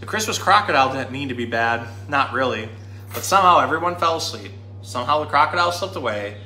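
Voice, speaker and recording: A man in his thirties reading a children's story aloud in an animated, dramatic storytime manner. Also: mid-range voice, quick pace. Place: indoor room. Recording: roomy indoor sound with faint noise.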